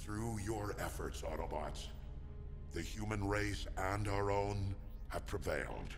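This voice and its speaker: A man's voice: low-pitched, lively intonation, slow pace, music in the background, heavily acted. A man playing a noble, solemn robot leader in a video game, grave and stately in manner. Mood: solemn pride.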